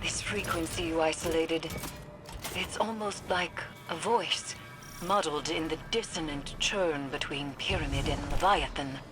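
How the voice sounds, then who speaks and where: An actress playing a grave, measured ally giving hushed reports. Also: female voice, low-pitched, over music. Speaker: ally and advisor.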